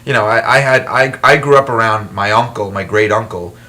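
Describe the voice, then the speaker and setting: A man's voice: fairly low pitch, fairly quick. A man, an author guest, talking animatedly in a casual interview, with frequent restarts. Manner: animated, self-deprecating storyteller with frequent restarts. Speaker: author and wrestling historian guest. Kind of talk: casual talk-show interview.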